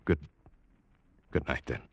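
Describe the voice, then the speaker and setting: An actor playing an uneasy, brooding yachtsman who tells his seafaring story in a measured, literary old-time radio drama style. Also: dry recording, lo-fi sound, lightly acted. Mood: polite, hesitant. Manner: measured, literary, atmospheric delivery. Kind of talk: 1940s-50s radio drama acting, scripted narration.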